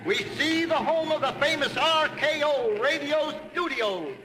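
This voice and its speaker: Noisy, reverberant vintage radio: tour conductor, very high voice, calling out to passengers. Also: male voice, a little slow. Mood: brisk announcing. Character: loud sightseeing bus tour conductor calling out sights. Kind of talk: 1930s radio advertisement sketch, announcing.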